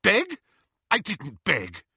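A man voicing a scornful, jealous young girl in an audiobook, with a shrill, indignant delivery. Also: wildly swooping pitch, slow pace, gravelly, sharp tone, breathy edge, no room sound, clean studio sound, full character voice. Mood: mortified outrage. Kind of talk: audiobook character reading.

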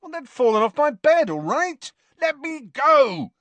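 A man voicing a lovesick, hot-tempered teenage wizard boy in an audiobook, breathy and strangled, with a dazed, pleading manner. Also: sits high, fairly even intonation, slow, fairly bright tone, no echo, pitched above the performer's own voice. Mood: indignant. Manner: overwrought, dreamy, pleading. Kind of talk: audiobook character voice.

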